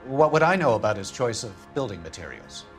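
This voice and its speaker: Condescending company vice president, middle-aged, haughty, wordy, animated pitch, video game drama, faint music. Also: male voice, a little fast. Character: smug, corrupt male insurance company vice president.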